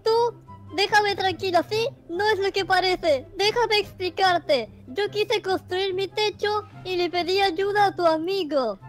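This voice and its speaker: A childish girl from a Minecraft roleplay, extremely high and squeaky. She is defensive and insistent, repeating herself over background music. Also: unhurried. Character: squeaky female noob friend, childish and defensive. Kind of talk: improvised comic game roleplay.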